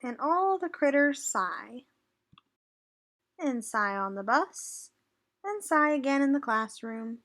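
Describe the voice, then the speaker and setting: A woman's voice: high voice, fairly bright tone. A woman leading an interactive children's read-aloud, instructional, putting questions to young listeners. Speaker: teacher or tutor reading aloud.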